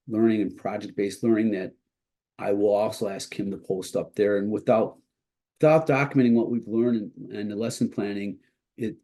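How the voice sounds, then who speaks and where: A man with a lowish, dark voice presents like a college teacher talking through his own practice. He sounds congested and husky, as if his voice is starting to go. His delivery is informal and explanatory, with halting self-corrections. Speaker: college instructor presenting to educators.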